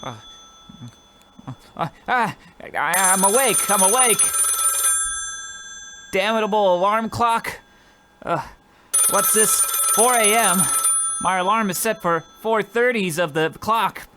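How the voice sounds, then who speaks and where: A man's voice: high voice, slow, long pauses, background noise. A man playing a meek, put-upon, poor postal worker who mutters fretfully in old-fashioned wording, in the style of old radio comedy. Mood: groggy, fretful.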